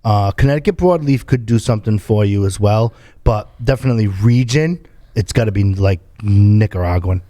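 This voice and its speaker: A man's voice: fairly low pitch, moderate pace, dark tone, no echo. A man hosting a podcast in casual chat, who often stammers and repeats words as he asks questions. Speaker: podcast host.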